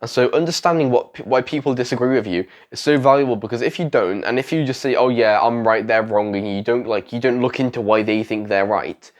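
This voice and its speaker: A man's voice: mid-range voice, brisk, hardly any pauses. A young man talks straight to camera, offering advice to fellow creators. He is sick and his voice is going, and he rambles and repeats himself, restarting sentences as he goes. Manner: rambling, self-correcting, repeats phrases. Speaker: YouTuber giving advice. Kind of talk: casual talking-head advice vlog.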